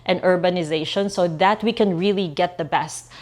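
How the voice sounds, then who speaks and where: A woman's voice: fairly low pitch, normal speed. A woman, talking straight to camera about her outsourcing business in an earnest, emphatic way. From Philippines. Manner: earnest, emphatic, repeats for stress. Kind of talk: direct-to-camera business talk.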